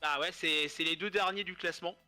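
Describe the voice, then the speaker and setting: A man calls an esports match live, his voice hoarse and his nose blocked from a cold. His bright, forceful tone sits a little high, and he jokes and wanders as he narrates the play. Faint music runs behind him. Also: fairly even intonation, brisk. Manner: joking, rambling casual commentary. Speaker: esports caster.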